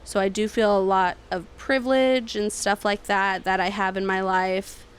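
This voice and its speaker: A woman co-hosting a casual podcast, chatty and emphatic, quick to laugh. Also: mid-range voice, steady tone, smooth. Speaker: podcast co-host. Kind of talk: casual chat. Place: indoors, seated smoking.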